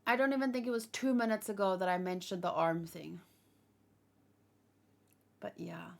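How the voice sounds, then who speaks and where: Woman streamer chatting about fan theories, kinda tired, rambly, thinks out loud as she goes. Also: medium pitch, fairly even intonation, normal speed, creaky. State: tired after hours of streaming. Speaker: livestream host. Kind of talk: casual chat, fan theorizing to live viewers.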